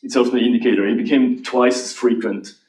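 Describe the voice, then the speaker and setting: A man from Switzerland, answering audience questions about AI in a halting, restarting way. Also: medium pitch, steady tone, a little mellow. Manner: halting, restarts often, laughs lightly. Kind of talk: conference Q&A. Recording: roomy hall, voice from a distance.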